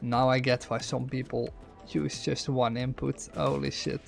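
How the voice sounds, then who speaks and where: A man's voice: mid-range voice, level tone, measured pace, gravelly, soft background music. A young Dutch man with a Dutch accent, thinking aloud and chatting with viewers while playing a factory-building game on stream. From Netherlands. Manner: thinks aloud, restarts words, reads chat questions aloud. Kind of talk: gameplay commentary while reading chat.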